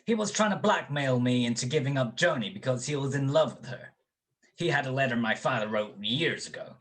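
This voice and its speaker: A man's voice: mid-range voice, lively intonation, brisk, lightly acted. A man playing an eager, hot-headed young college football player in a radio detective drama. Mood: pleading.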